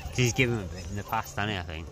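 A man's voice: fairly low pitch, rapid-fire, background noise. A middle-aged man, chatting outdoors and telling stories, calling his friend mate. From England. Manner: chatty storyteller, calls people mate. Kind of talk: casual chat. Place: outdoors by rocks.

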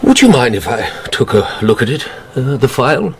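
A man playing a disguised nobleman in a radio drama, speaking in a measured, deliberate way. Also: medium pitch, sing-song, slight background noise. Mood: calm. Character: cool, calculating disguised nobleman.

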